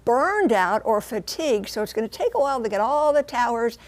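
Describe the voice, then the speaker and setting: A woman with a somewhat high-pitched American voice delivers a broadcast news report. She phrases things deliberately and puts emphasis on key words, as a reporter explaining to viewers. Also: animated.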